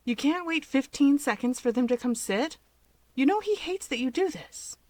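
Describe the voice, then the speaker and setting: A woman's voice: sits high, expressive, normal speed, a little mellow, voice pushed up. Woman playing a poised, caring big sister. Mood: scolding.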